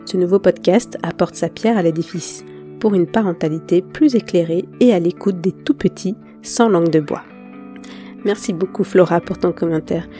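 A woman with a cold and a nasal voice, hosting a podcast and gently interviewing a guest. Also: on the low side. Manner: gentle, hesitant questions with fillers. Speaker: podcast host.

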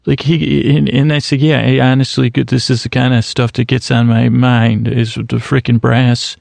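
A man in his thirties hosting a sleep podcast in a slow, meandering bedtime monologue, halting often with restarts and fillers. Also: medium pitch, little pitch movement, creaky, darkish tone, no echo. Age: 30s.

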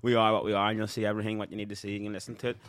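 Fast-talking man, Irish speaker. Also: on the low side, no echo. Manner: animated, laughing storyteller. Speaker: band member guest. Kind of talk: casual podcast chat.

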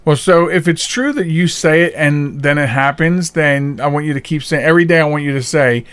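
A man's voice: on the high side, brisk, a bit bright, clean tone, no echo. A man chatting casually as the host of a podcast. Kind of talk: casual chat.

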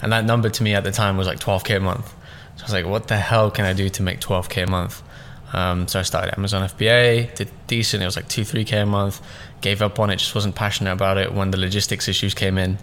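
Rambling young man, slightly low voice. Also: little pitch movement, fast, few pauses, a bit bright, slight background noise. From born in Russia, raised in southeast London. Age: 20s. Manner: loose and rambling, many fillers and "like"s. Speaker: podcast guest, business owner. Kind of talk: casual interview chat.

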